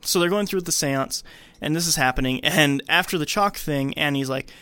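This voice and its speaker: Higher-voiced man recapping a film casually. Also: moderate pace, clear, resonant tone, no echo. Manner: informal, slangy, animated retelling. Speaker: podcast host. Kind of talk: casual movie recap, retelling scenes with acted quotes.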